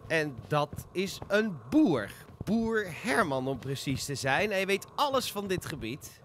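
A man's voice: sits high, very animated, unhurried, fairly bright tone, exaggerated voice. A man playing a deferential, patient royal lackey in a 1950s-style radio play, formal and theatrical. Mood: explaining. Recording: faint background noise.

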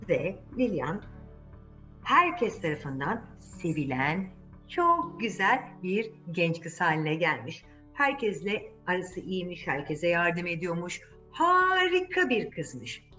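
A woman's voice: mid-range voice, fairly bright tone, dull audio. A woman telling a fairy tale to children, speaking to them directly and repeating words for emphasis. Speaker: children's storyteller, channel host.

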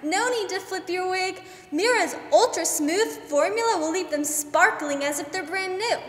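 A sassy, confident radio-ad saleswoman of the 1940s, played by a young woman. Her very high voice echoes around a stage hall. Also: animated, normal speed, a bit bright. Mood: sassy, assured. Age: young adult. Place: theater stage, standing mic.

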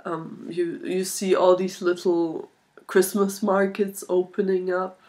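A woman in her twenties talks casually into a laptop microphone at home. Her voice sits a little low for a woman. She speaks very slowly, halting often as she thinks through what to say. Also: level tone, a little mellow. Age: 20s. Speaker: video blogger, art student. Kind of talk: casual chat to camera. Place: small furnished room indoors. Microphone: laptop built-in microphone at arm's length.

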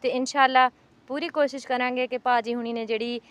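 A woman's voice: high-pitched, fairly even intonation, fairly quick, clear, resonant tone. A woman from Pakistan with a Punjabi accent, talking casually on site as a charity worker. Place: outdoors at an unfinished brick building site. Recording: outdoor location sound with background noise.

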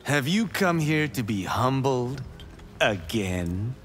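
Proud young warrior monk. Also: male voice, mid-range voice, sing-song, slow, heavy background noise. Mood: confident. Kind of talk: video game voice acting. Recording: music playing behind.

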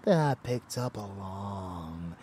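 A man's voice: low-pitched, expressive, slow, mellow, slight background noise. A man playing a murderous, doting vampire lover in an intimate ASMR audio roleplay, drawling and often dropping into whispers. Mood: sinister, coaxing. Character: rebellious murderous male vampire lover, doting yet bloodthirsty.

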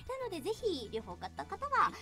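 Female host, bubbly promo chat; lively high voice, teasing, music underneath. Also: brisk. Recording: music playing behind the voice. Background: background music.

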